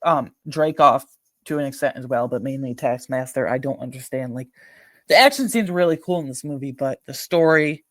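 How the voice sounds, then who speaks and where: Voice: medium pitch, brisk, fairly bright tone, no echo. A video host giving casual, rambling film commentary to viewers, emphatic and slangy with frequent asides.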